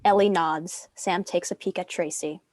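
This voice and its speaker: A woman's voice: lowish voice, fairly even intonation, moderate pace, darkish tone, no room sound. A young woman reading screenplay stage directions as a narrator. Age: young adult.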